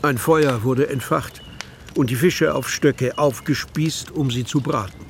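An actor narrating a children's fantasy adventure as a measured, descriptive storyteller. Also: male voice, medium pitch, slight background noise, close to an everyday voice. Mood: measured storytelling. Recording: music behind the narration.

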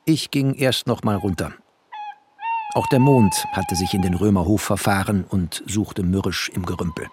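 An actor playing a wry, tender, bookish refugee who narrates a radio drama in terse poetic phrases. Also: male voice, on the low side, wildly swooping pitch, normal speed, a little mellow, a little hiss or hum. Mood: wry, sombre.

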